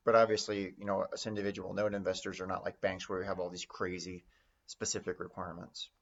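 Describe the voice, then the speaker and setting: A man's voice: low-pitched, flat delivery, fast talker, lo-fi sound. A man giving informal, conversational instruction as an investing teacher. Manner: conversational, role-plays example phone calls. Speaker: real estate note investing instructor.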